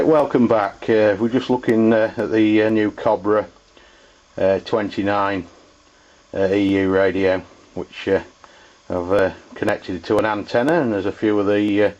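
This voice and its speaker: A man reviews a product off the cuff. His delivery is halting and informal, feeling its way from point to point. The sound is dull and lo-fi, like an amateur video. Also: lowish voice, darkish tone. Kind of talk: casual unscripted review.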